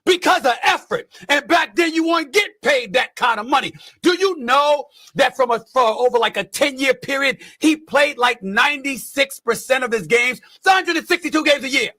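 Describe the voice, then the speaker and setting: Guy ranting about sports, indignant and emphatic, very high sharp voice, dry sound. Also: animated, normal speed, few pauses, dry recording. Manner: indignant, emphatic, rhetorical questions, repeats phrases. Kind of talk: impassioned sports opinion rant.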